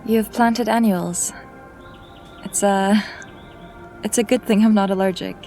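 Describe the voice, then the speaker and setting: A woman voicing a young woman mage in an audio drama, nervous but quick with dry, self-mocking humor. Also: medium pitch, steady tone, dark tone, faint music behind, close to an everyday voice. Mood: wry.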